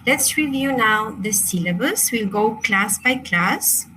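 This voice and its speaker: A woman's voice: on the high side, lively intonation, takes it slowly, noisy background. A woman from Chile, a native Spanish speaker with a Chilean accent, presenting an online crystal workshop in a hesitant, apologetic way with many fillers and restarts.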